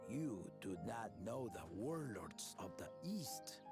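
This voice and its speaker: A woman's voice: a bass voice, big pitch swings, slow pace, over loud music, full character voice. A woman voicing a determined young leader who rallies rival peoples in a game cutscene, speaking in an earnest, stirring way. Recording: game score playing behind.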